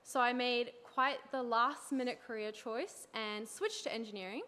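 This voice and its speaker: Fairly high-voiced young woman student. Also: normal speed, bright, forceful tone, clean tone. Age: young adult. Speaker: student presenter. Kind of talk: presenting to an audience.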